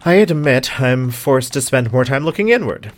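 A man playing a reserved, dryly patient scientist in an audio drama, formal and measured. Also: medium pitch, lively intonation, close to an everyday voice. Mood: reflective.

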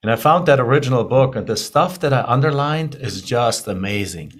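A man's voice: mid-range voice, animated, fairly quick. German-accented man telling his story. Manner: chatty, rambling with restarts. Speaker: guest. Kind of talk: casual interview storytelling.

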